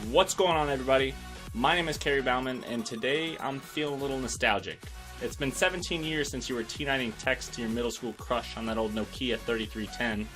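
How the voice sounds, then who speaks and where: A man's voice: medium pitch, a bit bright. A man hosting a scripted product review, narrating with wry, joking asides. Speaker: tech reviewer and host.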